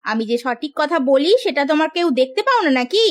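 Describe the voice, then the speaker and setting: A woman's voice: sits high, very animated, fast. A woman playing a haughty, spiteful, melodramatic sister-in-law in a cartoon. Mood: scornful. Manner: scornful, theatrical.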